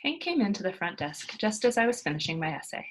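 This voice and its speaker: A woman reading a storybook aloud as a teacher, expressive and emphatic, with dramatic hushed moments. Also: mid-range voice, quick pace, a little hiss or hum, low-quality recording.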